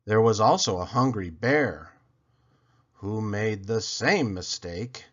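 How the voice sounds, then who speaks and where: A man's voice: fairly low pitch, expressive, slow pace, a bit bright, highs cut off. A man reading a children's story aloud expressively, leaning dramatically on key words. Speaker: storybook reader.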